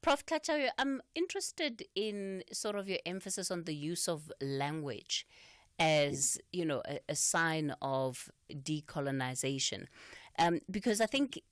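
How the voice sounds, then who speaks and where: A woman hosting a radio talk show, asking questions conversationally with softening fillers. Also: sits low, measured pace, no room sound, clean studio sound. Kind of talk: radio interview.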